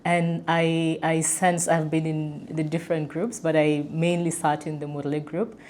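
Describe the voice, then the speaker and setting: A woman's voice: low-pitched, level tone, unhurried, a little mellow. A woman speaking as a podcast panelist, explaining reflectively and somewhat hesitantly. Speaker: podcast panelist, peace facilitator. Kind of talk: reflective discussion. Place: indoor room around a wooden table. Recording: clear background noise, room ambience.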